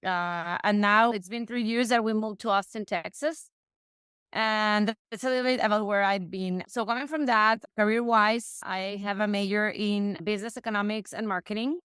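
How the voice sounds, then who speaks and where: A woman talks through her career as a podcast guest, often breaking off and restarting her sentences. Her voice is very clean and smooth. It sounds dry and close, with no room sound at all. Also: medium pitch, steady tone, normal speed, fairly bright tone, no echo. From Mexico. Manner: chatty, frequent restarts and self-corrections. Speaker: guest, real estate investor. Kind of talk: casual interview conversation.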